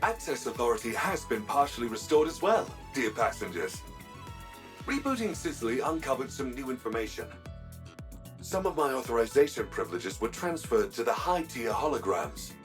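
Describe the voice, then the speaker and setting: Man voicing a formal ship's AI, very animated pitch. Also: distant mic, soft background music, lightly acted. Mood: courteous, composed. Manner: formal, measured, courteous. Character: polite, formal ship's guide machine intelligence. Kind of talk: video game cutscene acting.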